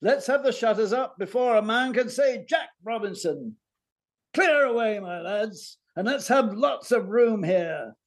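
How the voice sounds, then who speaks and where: A man's voice: high voice, lively intonation, unhurried, voice pushed up, heavily acted. A man reading a story, voicing a fat, jovial old merchant who calls out heartily. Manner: shouting, jolly.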